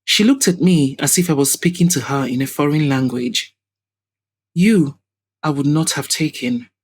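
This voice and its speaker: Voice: mid-range voice, normal speed, lightly acted. A woman voicing a sinister, wealthy older man with a haughty, formal and evasive manner in an audio drama. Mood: wistful.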